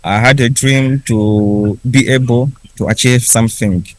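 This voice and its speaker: Young man, native Kiswahili speaker, unhurried testimony in English, mid-pitched, dry recording. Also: steady tone, no room sound, rough recording. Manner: unhurried, with frequent restarts and pauses. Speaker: refugee speaker at a conference. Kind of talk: personal story told slowly.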